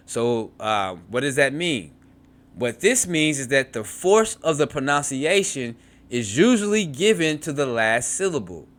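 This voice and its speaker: A man's voice: medium pitch, fairly bright tone. Man teaching a beginners' language lesson. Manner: repeats words to model pronunciation, frequent restarts and asides. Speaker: Hebrew teacher. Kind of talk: reading a textbook aloud and explaining.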